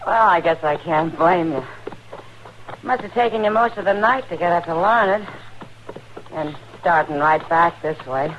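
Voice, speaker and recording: Actress as a slow-spoken frontier woman. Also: fairly low pitch, lightly acted. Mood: fond, teasing. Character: warm, plucky frontier woman, marshal's close friend. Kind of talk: 1950s western radio drama acting. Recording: dull old radio recording, faint noise.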